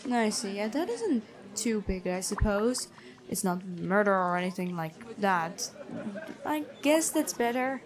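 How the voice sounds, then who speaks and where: A woman playing a gentle but stern, bookish female prison guard in a fantasy ASMR roleplay, chatty and inquisitive. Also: mid-range voice. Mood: chatty, wistful, then frank, compassionate. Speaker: ASMR voice actor.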